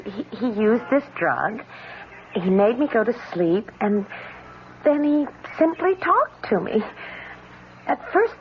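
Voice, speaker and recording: An actress playing an earnest, fragile young woman in an old-time radio drama, halting and full of wonder. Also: on the high side. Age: young adult. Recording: muffled, hissy old radio recording.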